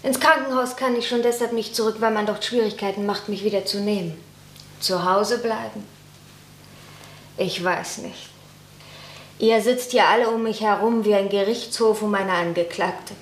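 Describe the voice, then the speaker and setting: An actress playing a quiet, determined young woman in a radio play, speaking in a subdued, earnest way. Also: mid-range voice, steady tone, moderate pace, close to an everyday voice. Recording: echoey room with faint hiss.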